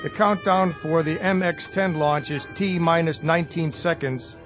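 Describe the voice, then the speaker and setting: An actor playing a brisk, procedural male mission control announcer, clipped and formal, in a radio drama. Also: fairly high pitch, steady tone, over music, natural voice. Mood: formal, controlled.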